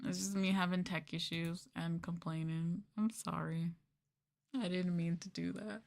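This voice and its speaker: Young woman streamer, lowish voice. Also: fairly even intonation, unhurried, creaky, a little mellow. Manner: conversational venting, apologetic. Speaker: streamer, ASMR VTuber. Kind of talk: casual chatty livestream talk, meant as soft ASMR.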